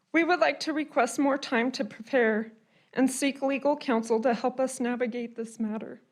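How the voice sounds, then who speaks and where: A young woman with a notably high voice speaks in a measured, formal way. She reads a prepared statement as a resident at a public hearing, earnest and careful. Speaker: resident giving public comment.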